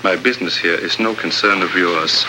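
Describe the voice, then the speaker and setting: An actor playing a haughty, menacing foreign agitator with formal, pompous phrasing in a 1950s radio adventure. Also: male voice, deep voice, moderate pace, lightly acted. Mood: cold, menacing. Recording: noisy old radio drama recording.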